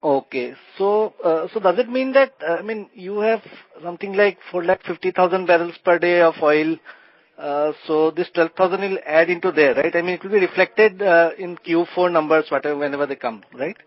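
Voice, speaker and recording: A man's voice: high-pitched, a little fast. A man with an Indian accent, an analyst putting questions on an earnings call. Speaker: financial analyst asking questions. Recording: dull, dry phone conference line.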